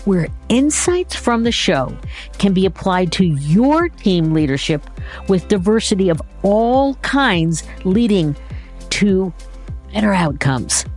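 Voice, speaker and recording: A woman hosting a podcast, reading a warm upbeat introduction and then interviewing her guest with enthusiasm. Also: mid-range voice, sing-song, slow pace, faint music behind. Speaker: podcast host.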